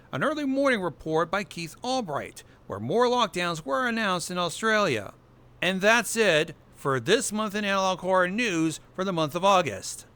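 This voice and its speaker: A man's voice: sits high, sharp tone. A young man hosting a casual monthly roundup of online horror series, with wry asides and jokes. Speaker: host of an analog horror news roundup.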